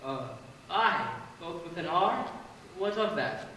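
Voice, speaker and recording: Echoey, high-voiced young lover played by a man. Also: animated, slow pace, sharp tone, full character voice. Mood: puzzled. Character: ardent young nobleman, secret lover. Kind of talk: Shakespeare stage acting. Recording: very echoey hall, distant mic.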